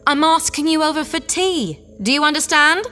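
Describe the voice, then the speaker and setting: Posh breezy space wife, high bright voice, lively, long reverb, music behind, as a woman plays her. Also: normal speed. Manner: plummy, breezy. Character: posh cheerful spacefaring wife, brisk and unflappable. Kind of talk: audio drama acting.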